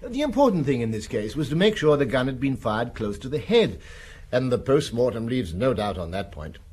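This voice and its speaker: An actor in a British radio drama playing a curt, self-assured French official who speaks with formal, assertive courtesy. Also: male voice, mid-range voice, sing-song, moderate pace, darkish tone, slightly rough. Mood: confident, dismissive.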